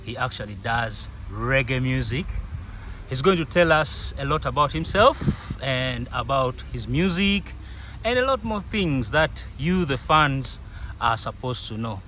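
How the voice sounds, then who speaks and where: A man hosting an interview, warmly welcoming his guest and asking about his music. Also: medium pitch, animated, unhurried, a little hiss or hum. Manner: frequent "mm" backchannels. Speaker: interview host. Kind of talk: casual interview.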